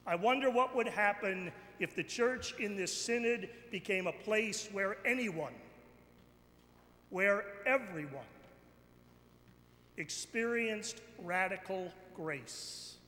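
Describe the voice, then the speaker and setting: Slow, high-pitched preacher man in echoing church. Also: animated, bright, forceful tone. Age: middle-aged or older. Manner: deliberate, declamatory, repeats phrases. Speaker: bishop preaching. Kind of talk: sermon, slow and emphatic with pauses. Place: large church, at a pulpit. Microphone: pulpit microphone. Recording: echoey church hall, background noise.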